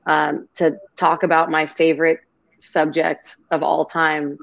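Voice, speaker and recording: A woman's voice: sits low, little pitch movement, a little slow, gravelly, poor audio. A woman guest on a video-call interview, talking in an effusive, grateful and rambling way. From half Greek, half Irish family. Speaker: podcast guest.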